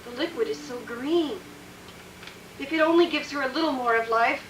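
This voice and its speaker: A woman playing a solemn, fearful doctor in a radio drama, halting, dramatic and intense. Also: high voice, fairly bright tone. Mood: frantic, anguished. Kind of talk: theatrical radio drama acting. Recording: noisy, echoey, distant radio recording.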